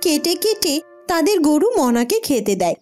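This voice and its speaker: A woman's voice: high-pitched, very animated, normal speed, darkish tone, faint music behind. A woman reading scripted narration for a children's fairy-tale cartoon.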